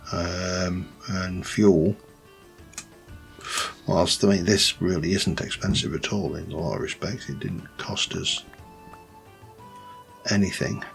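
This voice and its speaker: A man with a light Yorkshire accent, rambling through casual gameplay commentary with many pauses and restarts. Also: sits low, steady tone, creaky, faint music behind. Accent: light Yorkshire English. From Yorkshire, England. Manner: rambling, thinks aloud with many ums and restarts. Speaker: let's play host.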